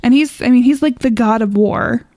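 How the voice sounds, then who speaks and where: A woman's voice: a little high, moderate pace, mellow, dry recording, clean studio sound. A woman co-hosting a podcast in casual chat, speaking informally and wryly, often with a chuckle in her words. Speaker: podcast co-host.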